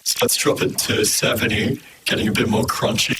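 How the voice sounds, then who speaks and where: A man's voice: normal speed, distant mic. Hissy vocoded man, synthetic and noisy. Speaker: music production tutorial host. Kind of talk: instructional screen-recording narration.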